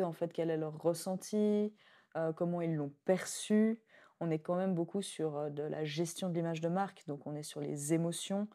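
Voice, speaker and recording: A woman hosts a podcast chat in a low voice. She talks things through in a reflective, easygoing way and laughs easily. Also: dark tone. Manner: chatty, reflective, laughs easily, leans on "en fait". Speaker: podcast host. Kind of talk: casual podcast conversation.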